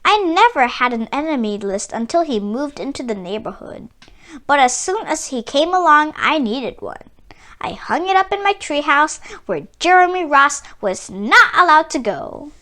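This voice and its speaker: Female children's book reader, bright and lively, theatrical storytelling with emphatic key words. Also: high voice, moderate pace. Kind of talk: expressive read-aloud storytelling.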